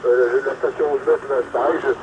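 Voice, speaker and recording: A man's voice: mid-range voice, fairly even intonation, a little fast, muffled recording, heavy background noise. A man chatting casually and hesitantly over two-way radio as a hobbyist, often repeating his words. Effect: two-way radio transmission. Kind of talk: casual amateur radio chat.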